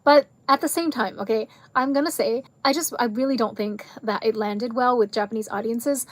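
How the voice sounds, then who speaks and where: A woman with a fairly high voice talks casually to camera, rambling from one tangent to the next and leaning on fillers. Her voice is fading and a little hoarse. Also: moderate pace, dry recording. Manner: chatty, rambling, jumps between tangents; frequent fillers like "like" and "um". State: losing her voice. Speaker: video creator reviewing a film.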